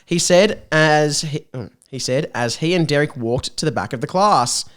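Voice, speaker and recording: A man's voice: on the high side, expressive, moderate pace, fairly bright tone, smooth. A man chatting casually and comedically as a podcast guest, riffing playfully and reading passages aloud.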